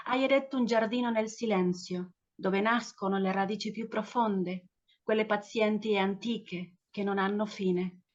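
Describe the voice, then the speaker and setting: Halting woman poet, dull call audio. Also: medium pitch, fairly even intonation. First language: Spanish. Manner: hesitant, halting, with frequent restarts. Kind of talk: poetry reading and round-table chat. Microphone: phone.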